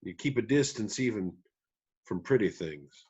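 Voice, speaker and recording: A man playing a gentle, weary country musician father in a table read, with folksy, halting phrasing. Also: fairly low pitch, expressive, husky, lo-fi sound. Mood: tender, cautioning. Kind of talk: screenplay table read acting.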